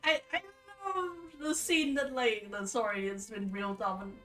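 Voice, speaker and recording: Female livestream storyteller, informal and rambling, halting delivery, heard at a distance from the mic over music. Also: mid-range voice, lively intonation, a bit bright. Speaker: streamer reading folktales aloud. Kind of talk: casual livestream storytelling and commentary.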